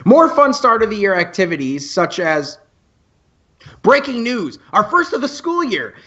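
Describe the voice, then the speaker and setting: A man playing an earnest, excitable teenage boy who announces on a school radio show in an amateur radio play. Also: high-pitched, a little slow, lo-fi sound, full character voice. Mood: upbeat. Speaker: student radio host.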